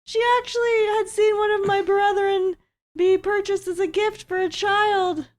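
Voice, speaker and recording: Super high chirpy walrus puppet, lady doing the voice, cheeky and chatty. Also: fairly even intonation, unhurried. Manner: chatty, playful, self-promoting. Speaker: co-host's puppet. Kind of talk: comedic puppet bit.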